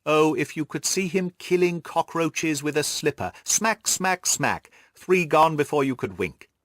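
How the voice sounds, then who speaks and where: A man voicing a jovial, effusive, stout middle-aged gentleman in an audiobook, exclaiming and repeating his words genially. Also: fairly high pitch, expressive, unhurried, dry recording, studio quality, pitched above the performer's own voice. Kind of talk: audiobook character voice.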